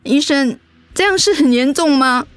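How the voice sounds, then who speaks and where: A woman's voice: high-pitched, moderate pace, no echo, close to an everyday voice. An actress playing a frightened, anxious middle-aged mother who is ill, in a radio drama. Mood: distressed, pleading. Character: frightened, anxious mother facing cancer treatment.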